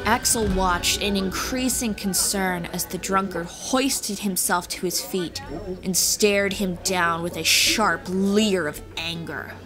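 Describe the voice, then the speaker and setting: A woman's voice: mid-range voice, takes it slowly. A woman reading scripted fantasy audiobook narration as the storyteller. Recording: music bed behind voice.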